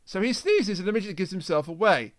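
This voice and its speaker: A man's voice: high-pitched, animated, fast talker. A man hosting a podcast, casually recapping a story in a jokey way and acting out bits of dialogue. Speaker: podcast host.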